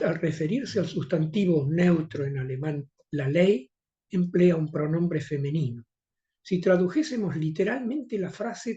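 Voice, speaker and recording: Older Argentine-accented male academic, mellow tone, muffled conference recording. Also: high-pitched. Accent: Rioplatense Spanish. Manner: measured, formal reading with occasional hesitations. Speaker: conference speaker. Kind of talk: reading an academic lecture.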